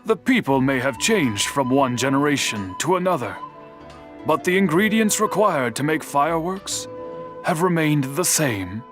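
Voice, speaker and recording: A stern old master smith. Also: male voice, on the high side, sing-song, over music. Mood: calm. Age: older. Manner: measured, formal, lecturing. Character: old master swordsmith, stern, patient and wise. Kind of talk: video game voice acting.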